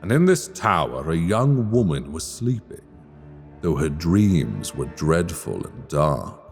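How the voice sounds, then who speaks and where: A man narrating a dark fantasy tale in a measured, portentous storybook manner. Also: extremely low pitch, sing-song, big-room sound, faint music behind.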